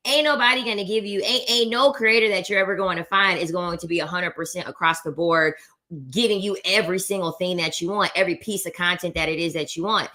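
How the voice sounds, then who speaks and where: A woman's voice: lowish voice, steady tone, fast, sharp tone. A woman content creator giving blunt, emphatic commentary on a debate clip, repeating her points and swearing for emphasis.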